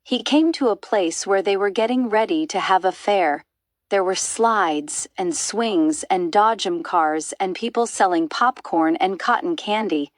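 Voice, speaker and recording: A woman's voice: mid-range voice, measured pace, no room sound, clean studio sound. A woman narrating a children's storybook as an audiobook reader.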